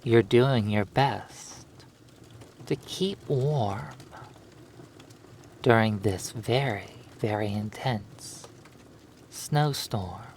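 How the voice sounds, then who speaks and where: A man narrating a hypnosis roleplay in a soothing, lulling manner, leaving long pauses between phrases. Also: lowish voice, a little mellow, slight background noise.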